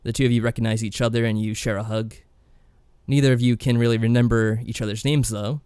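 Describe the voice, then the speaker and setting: A man co-hosting a podcast, discussing games casually in a measured, mild-mannered way. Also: medium pitch, fairly even intonation, a little mellow, clear, resonant tone, dry recording, clean studio sound. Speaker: podcast co-host.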